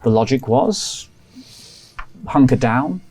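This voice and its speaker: A man's voice: medium pitch, lively intonation, takes it slowly, a little mellow. A man voicing a polite, evasive male village librarian who rambles with many fillers and restarts.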